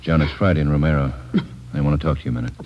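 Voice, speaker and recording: A grave hospital doctor in a vintage radio drama. His very deep voice is hushed, terse and sombre. The old recording is muffled with a faint hum. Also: fairly even intonation, very fast. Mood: hushed, urgent. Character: grave, soft-spoken male hospital doctor. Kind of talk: 1940s-50s radio drama acting.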